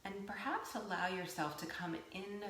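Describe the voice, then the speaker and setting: A woman teaching yoga nidra, giving gentle guided meditation instruction in a soothing, unhurried way with pauses. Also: mid-range voice. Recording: roomy echo, faint background noise.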